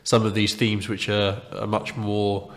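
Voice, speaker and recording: A man hosting a podcast, asking questions in a friendly, halting way. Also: on the low side, monotone, creaky. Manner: friendly, halting, repeats words. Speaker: podcast host. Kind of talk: interview questions. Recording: echoey room with long reverb.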